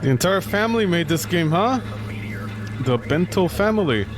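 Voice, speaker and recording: A man's voice: sits high, sing-song, normal speed, music in the background. A man doing live game commentary, talking to himself in a sarcastic, exclamatory way. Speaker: gaming streamer.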